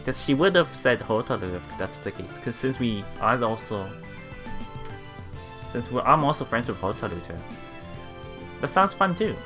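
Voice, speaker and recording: Lively, musing visual novel let's player. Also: mid-range voice, moderate pace, long pauses, over music. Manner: musing, often thinking aloud. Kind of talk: reading visual novel text aloud with casual reactions.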